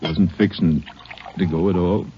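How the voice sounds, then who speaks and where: An actor playing a wounded, grieving middle-aged country deputy in a 1950s radio drama, speaking in a weak, halting, folksy way. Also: male voice, sits low, fairly even intonation, soft background music. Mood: dazed, halting. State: injured, dazed. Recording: dull, muffled old radio recording.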